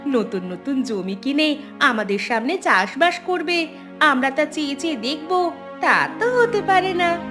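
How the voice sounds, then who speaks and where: A woman playing a bossy, resentful, scheming village mother who scolds in an animated story. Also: sits high, measured pace, over music. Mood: spiteful. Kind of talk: Bengali animated story dialogue.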